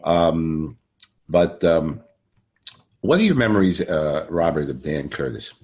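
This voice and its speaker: A man hosting a casual, chatty interview in an effusive way, repeating phrases for emphasis, with a gravelly voice. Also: a bass voice, expressive, slow pace, a little mellow. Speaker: interview host.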